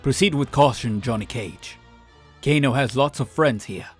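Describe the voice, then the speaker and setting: A man voicing a calm, wary martial arts hero in a comic dub, measured and earnest, with a husky voice. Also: medium pitch, soft background music, close to an everyday voice. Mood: cautioning. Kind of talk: amateur comic dub voice acting.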